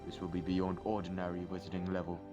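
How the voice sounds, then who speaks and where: A grave wizard teacher played by a man with a low voice, patient and careful in guiding a pupil. It is audio drama acting with faint music underneath. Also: completely flat delivery, fast, lightly acted. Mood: grave, instructive.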